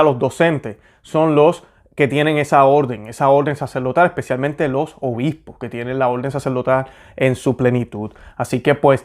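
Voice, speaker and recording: A young man hosts a faith program, earnest and conversational, often pausing on fillers as he works through his thoughts. His voice sits at an ordinary middle pitch. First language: Spanish. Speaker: host. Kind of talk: religious commentary and prayer.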